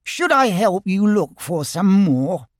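A man's voice: sits high, big pitch swings, takes it slowly, dry recording, studio quality, pitched above the performer's own voice, heavily acted. A man voicing a small, timid, kindly piglet in a storybook reading, nervous and earnest. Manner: nervous, earnest, eager to help.

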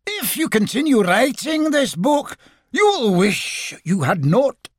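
Storybook ghost of a late uncle: very high, slow, drawn-out and menacing, swooping widely. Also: male voice, sing-song, no echo, clean studio sound, full character voice. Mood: warning. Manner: drawn-out, wailing warnings. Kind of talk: storybook character voice.

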